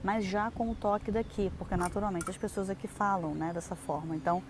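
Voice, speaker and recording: A woman's voice: medium pitch, steady tone, fairly quick. A young adult Brazilian woman giving chatty, explanatory advice in a casual vlog, with lots of fillers and restarts. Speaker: vlogger. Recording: noisy outdoor recording.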